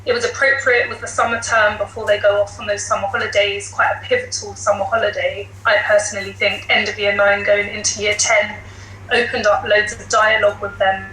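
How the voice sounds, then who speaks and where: A woman's voice: mid-range voice, level tone, brisk, pushed and bright. A woman teacher explaining things conversationally as a guest on a podcast. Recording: echoey room, faint background noise.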